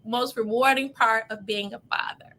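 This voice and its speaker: A woman with an American accent speaks as the host of a panel show. Her voice sits fairly high and rings out very bright and forceful. Her delivery is upbeat and presenterly. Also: fairly even intonation, normal speed. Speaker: host of a self-care show.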